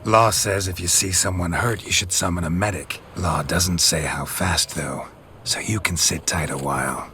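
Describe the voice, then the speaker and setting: An actor playing a terse, gruff male monster hunter who speaks in dry, clipped lines, music underneath. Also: low-pitched, fairly even intonation, moderate pace, pushed and bright, a little hiss or hum. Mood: curt. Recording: music behind.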